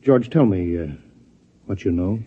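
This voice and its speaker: An American actor playing a middle-aged, formal and bemused Pentagon chief in a 1950s radio drama, deliberate and official. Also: male voice, low voice, slightly rough. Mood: baffled. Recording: muffled old radio recording.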